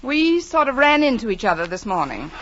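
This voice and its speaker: An actress in 1940s radio comedy style playing a wry, wisecracking English teacher, deadpan and sarcastic, on a noisy old recording. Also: female voice, a little high, a little fast, dull audio. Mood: polite, wry.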